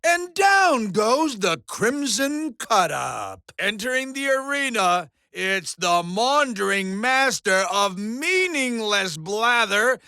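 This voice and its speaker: A man's voice: high voice, very animated, slow, hardly any pauses, pushed and bright, clear, resonant tone, dry recording, studio quality, heavily acted. A man voicing a flamboyant, ratings-hungry alien showman in a video game, announcing bloodsports with theatrical, sarcastic bombast. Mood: showy hype. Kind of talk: video game villain voice acting as sports announcer.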